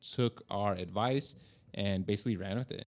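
Low-voiced man chatting casually on a podcast. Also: normal speed, creaky. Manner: informal, profane, enthusiastic. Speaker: podcast host and filmmaker.

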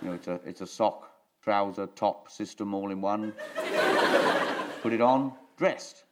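Absurd supreme ruler played by a man: deadpan and halting comedy tyrant, live theatre recording with loud noise. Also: lowish voice, lightly acted. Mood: calmly deadpan. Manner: deadpan, halting, literal-minded. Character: absurd tyrant supreme commander of the world, bucket on head.

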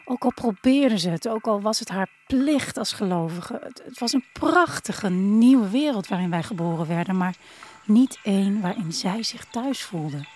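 A woman's voice: medium pitch, expressive, moderate pace, a little mellow. A woman narrating an audio drama in the first person, reflective and intimate in her storytelling. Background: music.

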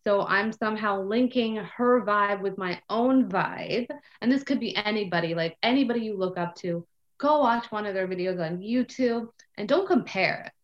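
A woman co-hosting a casual podcast, lively and animated, who acts out her thinking with quoted asides and lots of "like" and "okay". Her voice is at a middling pitch, and the recording sounds slightly dull and muffled. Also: normal speed. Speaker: podcast co-host. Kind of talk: casual conversational podcast chat.

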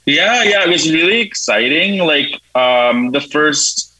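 A man's voice: on the high side, lively intonation, measured pace, sharp tone, no room sound, poor audio. A man in his thirties from Canada, a writer and producer, answering interview questions in a chatty, eager way, often saying "like" and laughing. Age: 30s.

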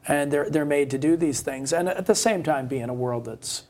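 A man co-hosting a podcast, talking casually in a halting, rambling, anecdotal way. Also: fairly high pitch, darkish tone. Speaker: podcast co-host. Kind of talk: casual conversational discussion.

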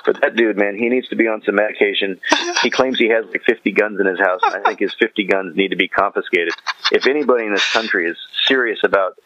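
A man's voice: fairly low pitch, fast, a bit bright, no room sound, muffled recording. A man chatting casually as a podcast guest, slangy and often chuckling as he retells things. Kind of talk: casual chat, animated retelling.

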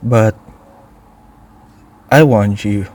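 An actor playing an apologetic, lovesick young man in an audio drama, subdued and halting. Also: lowish voice, darkish tone. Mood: remorseful, longing.

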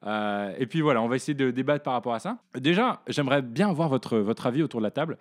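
A young man from northern France hosting a casual podcast discussion in his living room, talking haltingly with many hesitations and restarts. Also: mid-range voice, lively intonation. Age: young adult. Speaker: podcast host.

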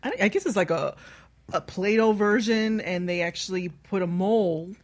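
A woman's voice: medium pitch, moderate pace, a little mellow. A woman hosting a bawdy, casual chat and putting questions to the group. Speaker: talk show host.

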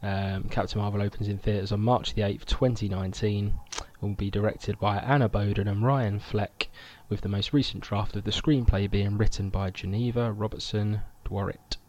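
A man's voice: fairly low pitch, fairly even intonation, moderate pace, few pauses, darkish tone. A man hosting a casual podcast, chatting and reading out news items. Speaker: podcast host.

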